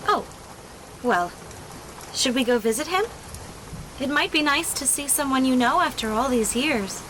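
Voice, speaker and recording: An actress playing a young warrior sister who speaks in a curious, earnest way. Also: female voice, sits high, expressive, unhurried, a bit bright, a little hiss or hum, low-quality recording. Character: young woman, a warrior sister who asks a lot of questions.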